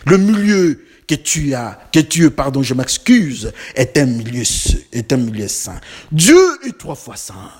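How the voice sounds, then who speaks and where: A man's voice: mid-range voice, expressive, measured pace, darkish tone, slightly rough. A man preaching a sermon with emphatic, building repetitions and rhetorical questions, slightly congested from a cold.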